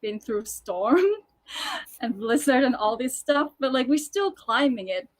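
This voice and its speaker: A woman's voice: a little high, animated, a little slow. A woman who grew up in Thailand, a musical theatre composer, chatting on a video call with many fillers and restarts. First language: Thai. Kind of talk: casual video call chat.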